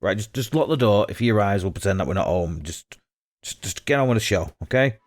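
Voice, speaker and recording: A man's voice: lowish voice, animated, a little fast, no room sound, clean studio sound. Stammering male awards host. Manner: stammering, flustered comic delivery. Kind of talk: comic awards presenting with a staged phone call.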